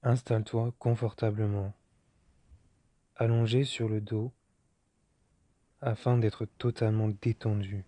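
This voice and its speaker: Male guided-relaxation voice: very mellow and dark, very slow, soothing, with long pauses between short instructions. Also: on the low side, little pitch movement, no room sound. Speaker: meditation guide. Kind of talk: guided yoga nidra relaxation.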